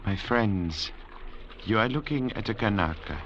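An actor plays a haughty, calculating middle-aged doctor leading escaped convicts, speaking precisely and with command in old radio drama style. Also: male voice, fairly low pitch, animated, unhurried. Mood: cool disdain. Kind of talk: 1950s radio drama acting. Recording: dull, noisy vintage radio recording.

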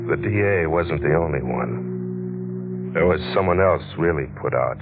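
A man's voice: a bass voice, a little slow, music in the background. A man with an American accent playing a wry, stubborn newspaper reporter who narrates in slangy 1940s radio noir style. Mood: brooding narration. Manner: slangy, sardonic. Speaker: lead actor and narrator. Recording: tinny, noisy old radio broadcast.

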